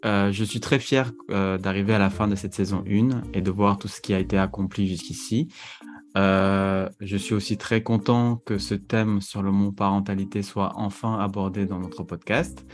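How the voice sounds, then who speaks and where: A man co-hosting a podcast, asking prepared questions with measured, slightly hesitant delivery. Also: lowish voice, steady tone, faint music behind. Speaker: podcast co-host.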